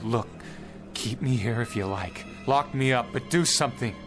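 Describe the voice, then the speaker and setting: A man's voice: medium pitch, moderate pace, music in the background, lightly acted. An actor playing a desperate, indignant man who pleads urgently in a radio drama. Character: desperate man trying to prevent a presidential assassination.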